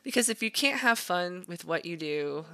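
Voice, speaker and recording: A woman's voice: lowish voice, animated, normal speed, bright, forceful tone, no echo, soft background music. A woman art instructor narrating a drawing demonstration in an informal, encouraging, conversational way. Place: voice-over to a screen recording.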